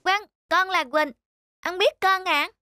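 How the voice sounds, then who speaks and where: A woman's voice: very high voice, unhurried, very noisy. A woman voicing a clever, cheeky little village boy in a cartoon, confident and politely mocking.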